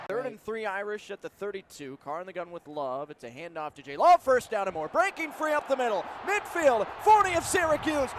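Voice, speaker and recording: A man with an American accent calls live football on radio as play-by-play announcer, with a dramatic delivery moving between measured detail and excited calls. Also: extremely high pitch. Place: stadium broadcast booth. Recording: live stadium radio broadcast, loud crowd noise. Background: loud stadium ambience.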